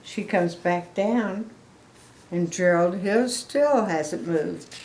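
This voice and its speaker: A woman's voice: fairly low pitch, slow pace, darkish tone. An older woman reading a picture book aloud to children, explaining things in simple phrases with little asides. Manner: simple, explanatory phrases addressed to young listeners. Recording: echoey room.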